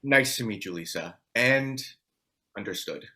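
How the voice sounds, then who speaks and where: A man's voice: lowish voice, animated, unhurried, pushed and bright, heavily acted. A man acting a blunt, decisive survivor who takes charge with urgency, in a table read of a screen drama. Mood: polite.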